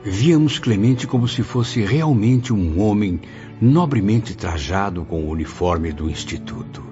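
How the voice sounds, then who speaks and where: An actor playing an earnest, inquisitive apprentice spirit in a radio drama, with formal, literary delivery. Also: male voice, lowish voice, lively intonation, measured pace, dark tone. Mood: admiring, reflective. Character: earnest, inquisitive male apprentice spirit recounting his memories. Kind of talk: radio drama acting, literary first-person narration. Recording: dull lo-fi audio. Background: clear background music.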